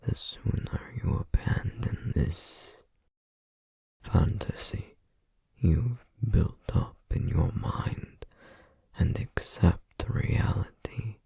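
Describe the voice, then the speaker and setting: Man playing a whispering, gravelly, cruel demon noble. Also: deep voice, warm tone, full character voice. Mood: stern menace. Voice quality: breathy, gravelly half-whisper. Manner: slow, haughty, formal, menacing delivery. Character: cold, domineering noble demon older brother, cruel, disdainful. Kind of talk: scripted ASMR roleplay audio drama. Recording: long cavernous reverb.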